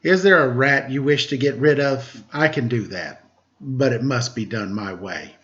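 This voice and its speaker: A man voicing a decrepit old samurai cat in a storybook, speaking in a calm, terse, quiet way. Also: mid-range voice, moderate pace, dull audio, natural voice. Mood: calm, solemn.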